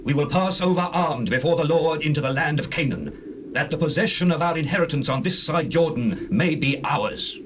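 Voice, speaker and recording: A man's voice: a little high, expressive, normal speed, close to an everyday voice. Male actor doing humble bible tribe spokesman, formal, voice swoops up and down, faint cassette hiss. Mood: dutiful. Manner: deferential, formal. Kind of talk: dramatized King James Bible reading. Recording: noisy old cassette tape.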